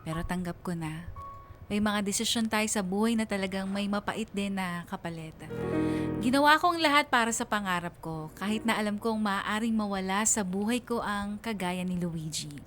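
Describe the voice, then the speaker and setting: Woman acting as wistful young storyteller over soft music. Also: medium pitch, moderate pace, close to an everyday voice. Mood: sad, resigned. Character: wistful young woman confiding her lost love. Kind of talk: first-person radio drama narration.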